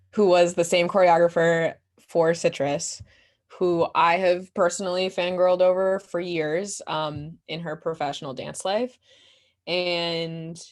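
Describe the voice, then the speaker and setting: Slow-paced, giggly, low-pitched young-sounding woman. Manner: giggly, slangy, expressive. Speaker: guest performer and playwright in a post-show talkback. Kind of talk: casual question-and-answer chat.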